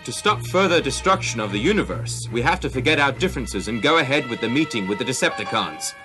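A man's voice: medium pitch, sing-song, fast, over music, lightly acted. A man playing a dutiful, earnest robot officer in a dubbed cartoon. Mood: urgent, earnest.

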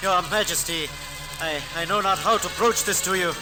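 An actor playing a nervous, deferential lord chancellor, hesitant and stammering, in an old radio drama. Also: male voice, high voice, moderate pace, close to an everyday voice. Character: nervous lord chancellor addressing his king. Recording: vintage radio with music behind.

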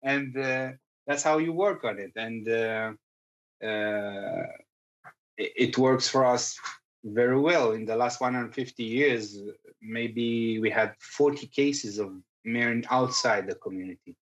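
A man's voice: medium pitch, slow pace. Man with a Circassian accent, muffled line. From Israel. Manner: hesitant, many fillers and restarts. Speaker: guest. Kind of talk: casual interview conversation.

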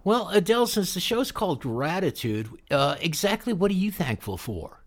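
A man's voice: sits high, sing-song, normal speed. A man hosting a radio show in a casual, conversational style.

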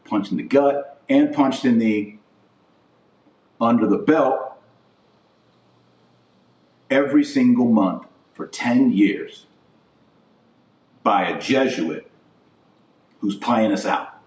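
A man's voice: medium pitch, slow, long pauses, darkish tone. A man hosting a religious podcast, delivering an impassioned opinion monologue in a blunt, emphatic, repetitive way. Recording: roomy echo, distant from mic.

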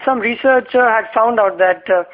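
Male interviewer, high voice, hesitant questioner, muffled radio sound. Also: normal speed. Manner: hesitant, many "uh" fillers while asking. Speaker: interviewer, host.

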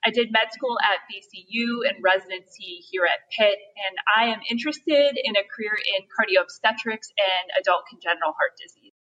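A young woman cardiology fellow, from Hungary and raised in the US, explaining a case clearly. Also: medium pitch, moderate pace, hardly any pauses, sharp tone. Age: young adult. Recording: dull, slightly distant mic.

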